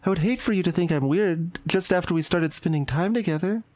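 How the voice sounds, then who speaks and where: A woman playing a shy, anxious and intense high school girl in a visual novel, speaking in a hesitant, confiding way. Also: fairly quick, a little mellow, dry recording, lightly acted. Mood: hesitant, apologetic. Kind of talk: dramatic visual novel voice acting. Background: background music.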